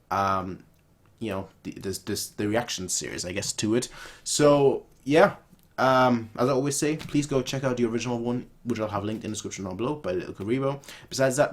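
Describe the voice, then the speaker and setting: A man reacting casually as a video host, rambling, repeating his phrases and exclaiming. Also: lowish voice.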